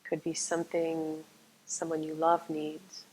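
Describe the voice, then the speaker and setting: A woman guides a meditation in a low, calm voice. Her delivery is slow and soothing, with little rise or fall in pitch. She leaves long pauses between phrases, as a yoga nidra teacher does. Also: husky, rough recording.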